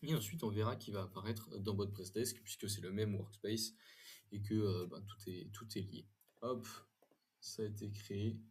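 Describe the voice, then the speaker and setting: Man, low pitch, rough-edged husky tone, informal tutorial narration, hesitant phrasing, frequent self-corrections. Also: steady tone, normal speed. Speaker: software tutorial presenter. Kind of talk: informal screen-share software demo and review.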